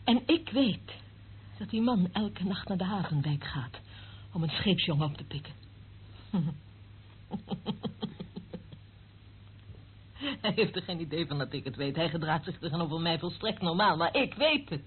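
A woman's voice: on the low side, expressive, normal speed, natural voice. An actress playing a cynical female eavesdropper in a radio play, sardonic and theatrical, relishing what she knows. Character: cynical, gloating female eavesdropper of a secret service. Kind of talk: radio drama acting. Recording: narrow tinny old radio recording, faint noise.

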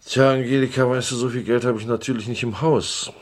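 An actor playing a middle-aged businessman under pressure in a German radio crime drama, measured, persuasive and evasive. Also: mid-range voice, fairly even intonation, close to an everyday voice. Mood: coaxing.

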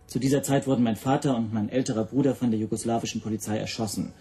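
A man playing a stateless Hungarian teacher in a radio play. His voice is medium in pitch and softly dark, and he explains himself in a formal, careful, earnest way. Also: steady tone, quick pace, close to an everyday voice. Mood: earnest, defensive. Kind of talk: radio drama acting.

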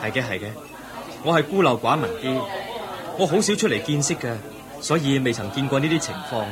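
A man playing a gentle, humble young prince. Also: mid-range voice, lively intonation, fairly quick, a crowd in the background, natural voice. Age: young adult. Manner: polite, soft-spoken, modest. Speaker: young noble wanderer. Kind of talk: radio play acting.

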